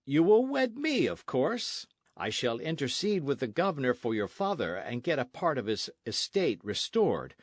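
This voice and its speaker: A man's voice: mid-range voice, sing-song, normal speed, few pauses, no room sound, clean studio sound. A man voicing an arrogant Spanish colonial army captain in a storybook, pompous, sneering and insistent. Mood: domineering. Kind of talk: storybook narration with character voices.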